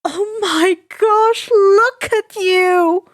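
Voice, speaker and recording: A woman voicing a brash, fierce middle-aged mother in a story reading, forceful and bossy. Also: very high-pitched, extremely slow, voice pushed up, exaggerated voice. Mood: gushing. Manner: forceful, bossy, then gushing.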